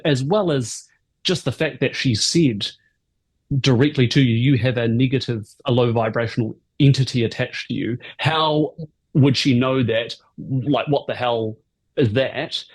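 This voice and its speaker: Male podcast host, husky voice, casual and curious. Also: mid-range voice, measured pace, poor audio. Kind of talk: interview questions.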